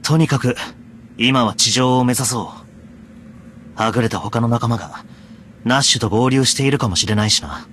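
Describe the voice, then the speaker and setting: A man's voice: mid-range voice, normal speed, long pauses, a bit bright, slight background noise, natural voice. A man voicing a young knight in an anime game, blunt and sardonic, exasperated but caring. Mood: serious, commanding. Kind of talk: Japanese anime game voice acting. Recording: light background music.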